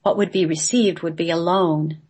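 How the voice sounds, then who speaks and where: Female guest reader with a lowish voice, reciting mystical scripted prose solemnly. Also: steady tone, moderate pace, darkish tone, husky. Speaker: guest reading a script she wrote.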